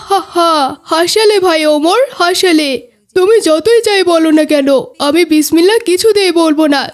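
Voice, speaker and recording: A woman voicing an earnest, chatty young boy in a children's cartoon, with a declamatory scripted delivery. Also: extremely high pitch. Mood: insistent. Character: earnest young boys, pious and chatty playmates.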